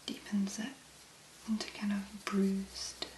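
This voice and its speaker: Woman doing asmr, soft whispery voice, really slow and gentle, bit of room echo. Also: mid-range voice, monotone, a little hiss or hum. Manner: gentle, slow, unhurried murmuring with pauses. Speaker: ASMR video creator. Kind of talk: ASMR, soft-spoken make-up demonstration.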